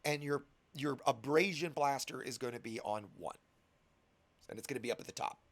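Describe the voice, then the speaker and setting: A man's voice: medium pitch, normal speed. A man with a scratchy voice from a cold, patiently talking a new player through game setup step by step in a casual tutorial. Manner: patient, chatty instructor addressing viewers.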